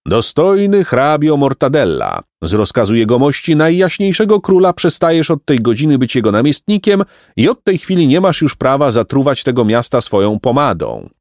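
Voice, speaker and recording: A man's voice: mid-range voice, very animated, moderate pace, hardly any pauses, a little mellow, dry recording, studio quality, pitched above the performer's own voice. A man playing a stern royal herald in a storybook, making pompous proclamations.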